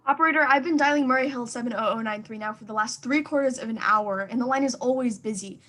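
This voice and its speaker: A high-pitched woman's voice in a suspense radio play acted by a woman. She is an anxious, sickly wife who demands to be heard, fretful and theatrical in her delivery. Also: quick pace, fairly bright tone, close to an everyday voice.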